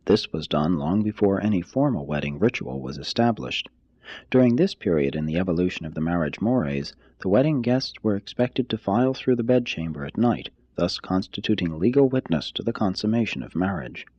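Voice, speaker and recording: A man reading a scripted expository text aloud like an audiobook, in a formal, measured manner. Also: low-pitched, animated, darkish tone, husky, no echo, muffled recording. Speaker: reader, narrator.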